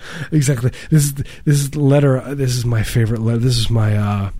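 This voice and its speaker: A man co-hosting a podcast, chatting casually with deadpan jokes, stammering and a slightly nasal voice. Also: mid-range voice, fast talker, no room sound. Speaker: podcast co-host. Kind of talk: casual comedic chat.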